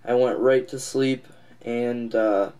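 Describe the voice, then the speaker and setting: A congested man with a nasal voice, getting over a cold, giving a casual, halting update to his viewers. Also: medium pitch, steady tone, mellow, smooth. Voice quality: nasal, stuffed-up. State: congested, recovering from a cold and lost voice. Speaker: comics channel host.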